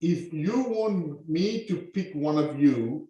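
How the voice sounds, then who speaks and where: A man from Sri Lanka teaches a live online class, full of energy and constantly checking in with "okay?". His voice sits a little high for a man. The sound bounces hard around a very echoey room. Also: slow. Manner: energetic, peppers talk with "okay?". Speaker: online business coach.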